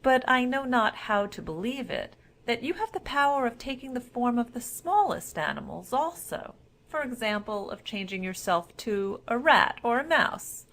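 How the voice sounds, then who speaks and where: A woman voicing a cunning, boastful storybook cat with a formal, flattering, emphatic way of talking. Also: fairly high pitch, normal speed, voice pushed up. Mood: sly flattery.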